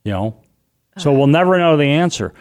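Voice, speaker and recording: A middle-aged man with a loud voice, telling a personal story as an interview guest, animated and vivid, acting out what people said. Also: on the high side, brisk. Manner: vivid, animated retelling with acted-out quotes.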